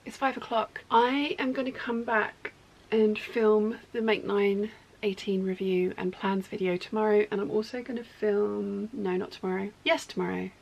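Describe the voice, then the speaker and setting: A woman chatting casually in her sewing studio, rambling and correcting herself, her voice going hoarse. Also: medium pitch, fairly even intonation, moderate pace, muffled recording. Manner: rambling, self-correcting, talkative. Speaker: sewing vlogger.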